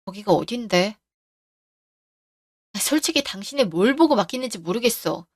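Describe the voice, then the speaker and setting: A woman's voice: mid-range voice, animated, normal speed, no echo, studio quality. A woman voicing a cautious, worried wife who keeps questioning her husband, in a radio drama. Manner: anxious, nagging questions.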